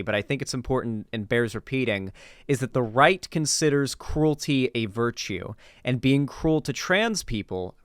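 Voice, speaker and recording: A man's voice: mid-range voice, fairly bright tone, smooth, no room sound. Clean, dry-sounding man giving blunt commentary. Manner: emphatic, blunt, casual swearing. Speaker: political commentary streamer. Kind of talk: casual unscripted reaction commentary.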